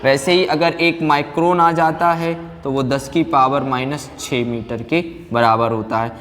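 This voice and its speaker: A man's voice: medium pitch, steady tone, unhurried, a bit bright. A man teaching a physics class like a lecturer, asking questions and answering them himself. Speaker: science teacher. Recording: very reverberant room, background noise.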